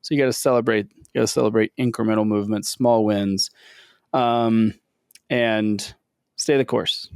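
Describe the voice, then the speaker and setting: A man's voice: fairly low pitch, steady tone, normal speed, vocal fry, darkish tone, clear, resonant tone, no echo, clean studio sound. A man co-hosting a business leadership podcast, talking casually and upbeat with lots of fillers. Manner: upbeat, filler-heavy promoting. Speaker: podcast co-host.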